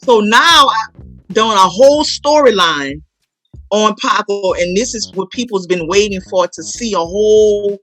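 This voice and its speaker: A woman's voice: mid-range voice, expressive, measured pace, fairly bright tone, faint music behind. A woman, chatting casually as an interview guest and telling stories in an emphatic, repetitive way. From New Orleans, United States. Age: 40s. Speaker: guest, playwright and stage actor. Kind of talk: casual promotional interview chat.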